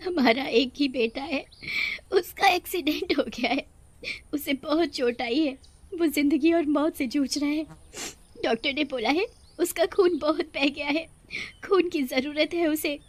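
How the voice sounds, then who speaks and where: A woman's voice: high voice, unhurried, dry recording, voice pushed up. A woman voicing an anguished, distressed mother in a story.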